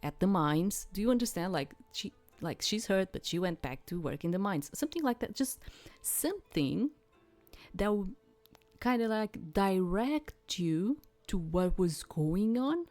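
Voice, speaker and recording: A woman doing a casual game playthrough commentary, halting and repetitive, often checking that the listener follows. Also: mid-range voice, expressive, a little mellow, soft background music. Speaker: gamer presenting a game playthrough. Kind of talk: reading game text aloud with casual commentary.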